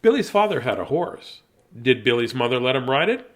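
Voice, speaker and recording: A man's voice: medium pitch, very animated, fairly quick. A middle-aged man chatting casually and reading children's stories in a silly, rambling, self-deprecating way. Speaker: children's story reader, host. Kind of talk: casual livestream chat and bedtime story reading.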